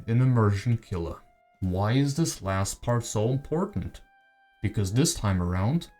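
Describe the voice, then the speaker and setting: A man's voice: mid-range voice, warm tone. A man narrating a video essay on games as its creator, conversational and given to wry asides. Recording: voice-over with music behind. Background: background music.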